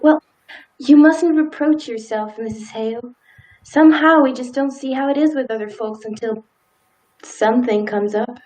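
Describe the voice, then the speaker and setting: Woman acting a timid, high-voiced small-town wife. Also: normal speed, a little mellow, natural voice, poor audio. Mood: gentle, reassuring. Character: timid, polite, sympathetic small-town wife Mrs. Peters. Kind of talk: live stage radio play acting.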